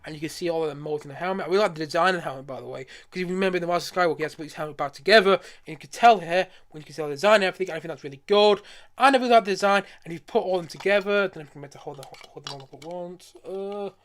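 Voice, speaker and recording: Casting: male live streamer, high-pitched voice, quick delivery, enthusiastic and rambling, casual. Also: fairly bright tone. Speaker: streamer showing a collection.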